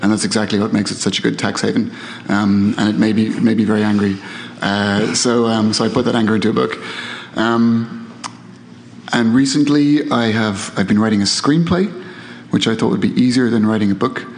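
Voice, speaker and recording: Halting Irish man, jet lagged. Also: on the low side, fairly even intonation, big-room sound, a little hiss or hum. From Ireland. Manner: halting, self-deprecating, many ums. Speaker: author panelist.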